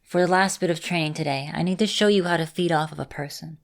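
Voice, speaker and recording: Casting: actress as affectionate female vampire mentor for ASMR roleplay, lowish voice, gentle and reassuring with a teasing edge. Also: fast talker, creaky. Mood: flustered, teasing. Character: affectionate centuries-old female vampire mistress, encouraging mentor.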